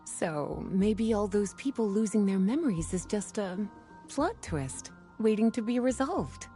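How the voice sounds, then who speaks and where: A woman's voice: mid-range voice, expressive, measured pace, mellow. A woman playing a teasing, soothing adult female character in a video game. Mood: playful, soothing. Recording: music behind the voice.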